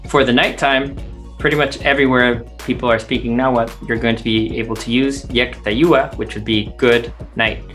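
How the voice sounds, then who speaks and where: A man's voice: medium pitch, fairly even intonation, moderate pace, fairly bright tone. A man teaching in a scripted language lesson, explaining words and their meanings step by step. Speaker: teacher, translator. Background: music.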